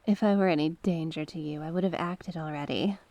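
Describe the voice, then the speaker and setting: A woman's voice: low-pitched, brisk, warm tone, dull audio. A woman playing a mysterious sea witch in an ASMR roleplay, coaxing and sly, speaking with genteel courtesy and terms of endearment.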